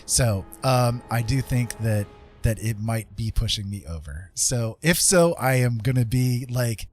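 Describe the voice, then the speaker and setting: A man's voice: medium pitch, lively intonation, measured pace, no echo. A middle-aged man chatting casually to his livestream viewers, rambling and hesitant as he goes. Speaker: livestreamer and digital artist. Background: music playing.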